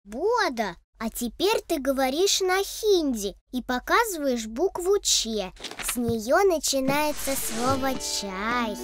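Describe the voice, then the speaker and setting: A little know-it-all creature from an educational kids' cartoon. The voice is high and childlike, eagerly explaining, over clear background music. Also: very animated, takes it slowly, hardly any pauses, a bit bright, exaggerated voice. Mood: eager, explanatory. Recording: music behind the voice.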